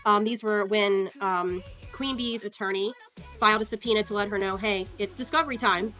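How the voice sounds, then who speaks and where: A woman's voice: mid-range voice, fairly even intonation, normal speed, sharp tone, faint music behind. A woman giving casual, sarcastic commentary as she walks through court records, scornful and free with swearing. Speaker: online commentator.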